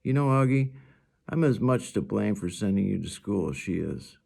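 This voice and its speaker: A gentle, playful dad from a storybook, acted by a man reading aloud. He coaxes and reassures in a creaky voice that often lifts a little from its low base. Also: animated, moderate pace, heavily acted. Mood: reassuring. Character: gentle, playful, reassuring father of a young boy.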